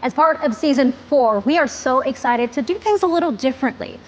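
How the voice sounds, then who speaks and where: A woman hosting a podcast-style interview, making bright introductions and affirming her guests often. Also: high voice, animated, a little fast, lo-fi sound, slight background noise. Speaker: host.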